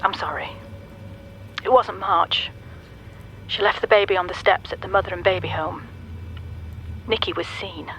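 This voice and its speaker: An actor playing an apologetic caller gently breaking disappointing news in a naturalistic radio drama. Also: male voice, sits high, fairly even intonation, normal speed, long pauses, a little hiss or hum, poor audio. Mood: apologetic, gentle.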